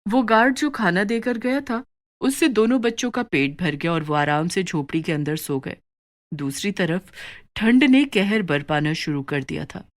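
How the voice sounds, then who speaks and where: A woman narrates a children's moral story in a lively, melodic way, her voice on the lower side. She tells it steadily and expressively, scripted, like a bedtime tale.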